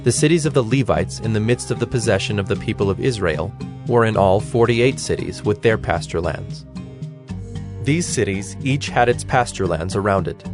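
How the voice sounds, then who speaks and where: A man giving a measured, formal narration of scripture as the narrator of a dramatized audio production. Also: lowish voice. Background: instrumental music with plucked strings.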